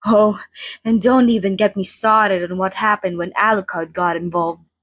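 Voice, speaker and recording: A theatrical female vampire over a hundred years old, played by a woman, chats in a soft, husky, breathy voice. She is playful and mock-grand, like a hostess in an intimate ASMR roleplay. The sound is close and very dry. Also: mid-range voice, no echo, lightly acted. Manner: playfully grand, mock-formal, dramatic, chatty. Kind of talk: ASMR roleplay, chatty in-character monologue. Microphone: close studio microphone, dry.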